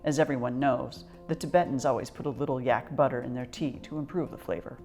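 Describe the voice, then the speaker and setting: A woman reading a children's picture book aloud as a storyteller, with expressive, measured delivery. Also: extremely low pitch, faint music behind.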